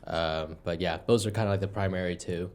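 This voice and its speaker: A man's voice: deep voice, fairly even intonation, brisk, clear, resonant tone. A young American man, a comedian guest, chatting about his life in an easygoing, rambling way. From United States. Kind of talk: casual podcast chat. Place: podcast studio.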